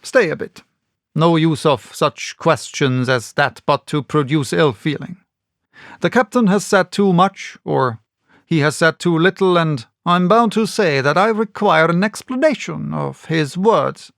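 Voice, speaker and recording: A composed, courteous doctor, voiced by a male storyteller, reasoning firmly and asking probing questions. The voice sits slightly high and the sound is very dry. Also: a little slow, gravelly, fairly bright tone, no room sound. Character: composed, reasoning gentleman doctor, firm peacemaker. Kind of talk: audiobook character reading.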